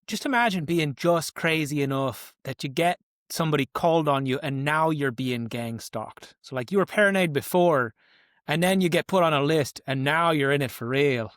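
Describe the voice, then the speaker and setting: A man's voice: on the high side, lively intonation, normal speed, fairly bright tone, no echo, clean studio sound. A man chatting casually as a player in a tabletop game. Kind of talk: casual chat.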